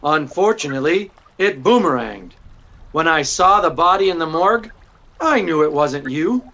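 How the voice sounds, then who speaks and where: An actor playing a witty, self-assured detective, explaining things in an unruffled, dryly courteous way in 1940s radio drama style. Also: male voice, a little high, animated, normal speed, bright, forceful tone, dull audio, slight background noise. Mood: deducing. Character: witty, self-assured male amateur detective laying out his deduction.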